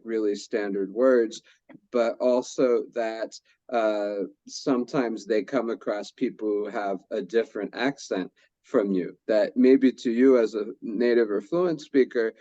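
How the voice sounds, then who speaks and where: A man's voice: lowish voice, mellow, no echo. A man presenting a workshop who guides the discussion and rephrases what participants say. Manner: sums up and rephrases what others say. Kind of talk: leading a group discussion. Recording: dull lo-fi sound.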